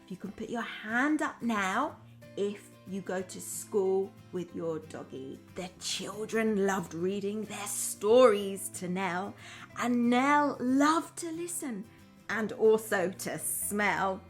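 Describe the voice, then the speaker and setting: A woman primary school teacher reading a story aloud to young children in an enthusiastic, expressive way, chatting to them between lines. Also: medium pitch, slow pace, soft background music. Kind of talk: children's storytime read-aloud with asides to the audience.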